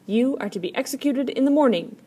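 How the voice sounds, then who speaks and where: A woman voicing pompous storybook army generals, loudly declaiming imperial orders. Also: high voice, animated, a little fast, voice pushed up. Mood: stern command. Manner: loud, declamatory. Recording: clear background noise.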